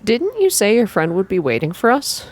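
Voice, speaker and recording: A woman's voice: mid-range voice, huge pitch swings, normal speed, pitched above the performer's own voice. A woman voicing a tired, impatient young female travel companion with a pointed, exasperated manner in a story reading.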